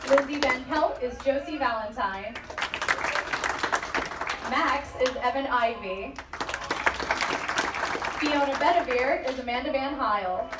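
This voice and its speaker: A woman's voice: sits high, slow pace, pauses often, heavy background noise. A woman announcer reading out credits to a live audience. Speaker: show announcer. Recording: echoey live stage, loud crowd, distant mic. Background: loud crowd.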